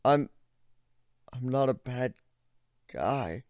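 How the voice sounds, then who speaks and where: Trembling, pitiful male mage, performed by a man, fantasy story, quick to anger, raised animated pitch, dry sound. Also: no room sound. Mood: whimpering panic. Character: panicked, pleading male mage turning angry and threatening.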